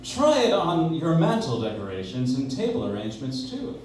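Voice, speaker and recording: Male announcer for old-style radio show, animated scripted delivery, far from the mic, faint noise behind. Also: fairly high pitch, moderate pace. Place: live stage in a coffee shop. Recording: very echoey room, distant, faint noise.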